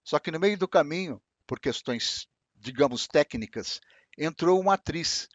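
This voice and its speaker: A man interviewing a guest, asking formal, measured questions and adding short voice-over notes. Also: on the high side, expressive, highs cut off.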